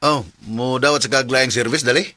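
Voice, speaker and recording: A man's voice: medium pitch, a little fast, background noise, lightly acted. A man playing a brash, teasing young nephew in a radio drama. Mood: cocky, teasing.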